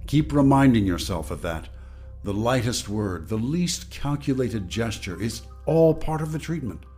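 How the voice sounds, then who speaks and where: A man playing a grave narrator and relentless inner voice in a radio drama, solemn and deliberate. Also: mid-range voice, expressive, darkish tone, slightly rough, faint music behind. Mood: bleak, relentless.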